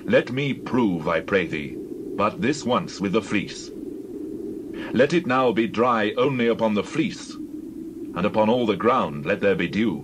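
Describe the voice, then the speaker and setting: A man's voice: fairly low pitch, expressive, unhurried, noisy background. An actor playing a humble, anxious Israelite man pleading earnestly in a scripture drama.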